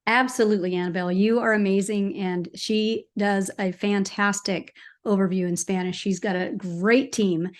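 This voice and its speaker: Woman hosting, warm-up emcee energy, upbeat and full of praise between guests. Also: mid-range voice, steady tone, normal speed. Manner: upbeat, effusive. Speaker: call host. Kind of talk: enthusiastic introductions.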